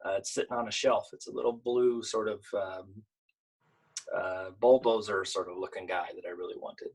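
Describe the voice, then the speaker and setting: A man who works as a children's author, talking casually and explaining in a halting, conversational way during a storytime. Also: fairly low pitch, steady tone, creaky, husky. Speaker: picture book author and illustrator. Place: at home, online video event.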